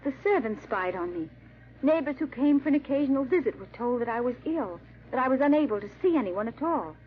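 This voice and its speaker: A woman's voice: high-pitched, lively intonation, a little fast. A woman playing an anxious young French bride in a 1940s radio drama, with formal, theatrical diction. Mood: wistful recollection. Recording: dull, muffled old radio recording.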